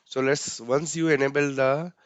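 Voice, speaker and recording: A man with an Indian accent walks through software settings step by step. He talks casually, with many fillers and a frequent "right?" at the end of his points. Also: mid-range voice, level tone, moderate pace, dry recording. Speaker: instructor.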